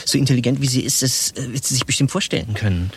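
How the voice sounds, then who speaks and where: An actor playing a bumbling, henpecked administrative manager who talks haltingly in a satirical radio play. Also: male voice, mid-range voice, a little hiss or hum, lightly acted. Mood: awkward. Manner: halting, muddled.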